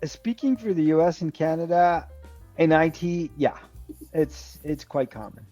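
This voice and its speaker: A man's voice: on the high side, lively intonation, a little slow, mellow, soft background music. A man tutoring in a conversational online lesson, explaining with short acted-out examples. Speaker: English tutor. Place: online video call.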